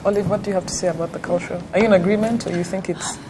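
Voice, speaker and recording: A woman's voice: fairly low pitch, steady tone, very fast, background noise. A woman hosting a panel talk show, asking questions with many fillers and restarts. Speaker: host.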